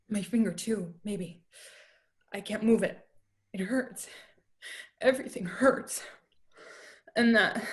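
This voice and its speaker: An actor playing a young female election observer, hurt and dazed, speaking haltingly. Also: medium pitch, natural voice.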